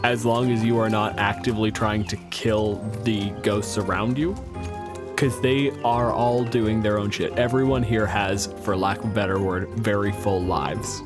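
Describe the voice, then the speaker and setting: A man's voice: lowish voice, fairly even intonation, moderate pace. Casual male game master over music. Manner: casual, profane, joking. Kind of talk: improvised tabletop storytelling.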